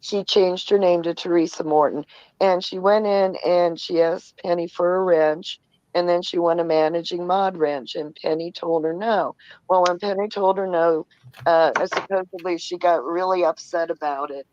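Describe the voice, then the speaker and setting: A woman's voice: on the low side, fairly even intonation, normal speed, a little mellow, dry recording. Woman chatting over dull, dry lo-fi audio. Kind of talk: casual explanatory gossip.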